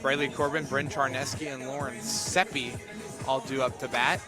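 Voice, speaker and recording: A man doing live radio play-by-play of a softball game as the announcer. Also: mid-range voice, steady tone, normal speed, music in the background. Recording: noisy outdoor broadcast with crowd behind. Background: crowd and outdoor ambience.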